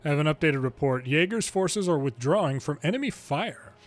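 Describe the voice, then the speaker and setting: A man with a fairly high voice reads out lines from a story game. Game music runs underneath his reading. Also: animated, fairly quick. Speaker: game streamer reading the dialogue aloud. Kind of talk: reading translated game dialogue aloud.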